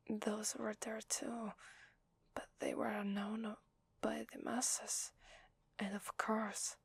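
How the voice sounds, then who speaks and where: A woman with an Italian accent playing a gentle, apologetic vampire in an ASMR roleplay, whispering softly and hesitantly close to the microphone. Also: mid-range voice, no room sound, heavily acted. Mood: hushed, explanatory. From Italy. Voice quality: soft breathy whisper. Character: gentle, apologetic female vampire confiding in a human. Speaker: ASMR voice actor. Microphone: close microphone, dry.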